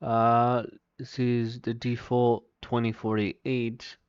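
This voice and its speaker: A man with a Mexican accent livestreaming his first software install, thinking aloud, muttering to himself and reading chat aloud. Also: mid-range voice, monotone, takes it slowly, clean tone, no room sound, lo-fi sound. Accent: Mexican Spanish. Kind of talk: casual live commentary while installing software.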